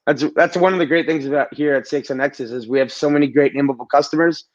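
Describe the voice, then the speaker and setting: Man, raspy voice, getting over a cold, stumbles and repeats words, slightly high pitch, muffled remote call. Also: fast. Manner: repeats words often when starting sentences. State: recovering from a cold. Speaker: guest. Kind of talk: casual interview talk.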